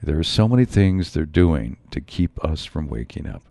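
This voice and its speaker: A man hosting his own show in a rambling solo monologue, his voice a bit raspy from a slight cold. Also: a bass voice, very animated, moderate pace, warm tone, no echo. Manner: rambling, musing, frequent asides. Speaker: show host.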